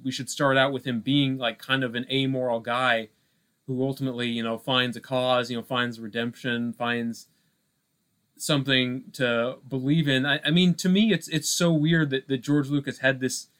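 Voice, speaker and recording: A man with a medium-pitched voice talks casually as a film podcast co-host. He feels his way through each thought in a halting, conversational way, leaning often on small filler phrases. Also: fairly bright tone. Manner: halting, heavy use of "you know" and "like".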